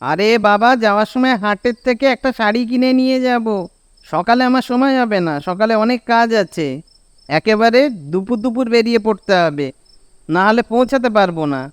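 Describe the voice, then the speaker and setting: A man's voice: high voice, moderate pace, clean tone, dry recording, close to an everyday voice. A man with a Bengali accent voicing a bossy, scolding young village friend in a cartoon, with a nagging, exasperated manner. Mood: impatient. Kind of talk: animated cartoon dialogue.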